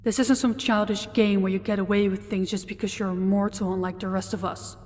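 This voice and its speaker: A woman playing a commanding, possessive demon lord in an audio roleplay, speaking in a cold, deliberate, imperious manner. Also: mid-range voice, little pitch movement, a little mellow, lightly acted. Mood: scolding, cold. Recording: long hall-like reverb.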